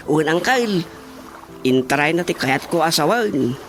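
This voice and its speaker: Man playing a giggly, lovestruck farmhand, swooping pitch. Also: animated, a little slow, a bit bright. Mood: lovestruck eager. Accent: Ilocano. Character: giggly, lovestruck, simple-hearted male farmhand nephew. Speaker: radio drama actor. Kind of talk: Ilocano radio drama comedy acting. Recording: clear music behind the voice.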